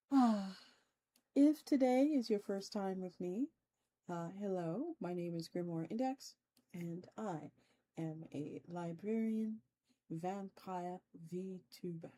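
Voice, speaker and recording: Mellow, slow-talking woman streamer. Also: mid-range voice. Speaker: VTuber streamer host. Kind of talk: casual livestream chat with viewers. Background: soft ambient music.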